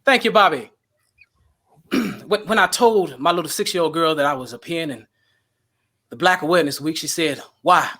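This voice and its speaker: A man's voice: on the high side, moderate pace, pauses often, pushed and bright. A man playing an earnest, self-important lounge singer with a showy, dramatic manner in a comedy table read. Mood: earnest, pompous.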